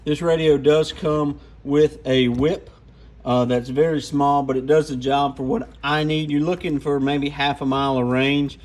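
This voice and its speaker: A man's voice: a little high, level tone, a little slow, a little mellow. A man giving an informal, off-the-cuff hands-on product walkthrough. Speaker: radio gear reviewer.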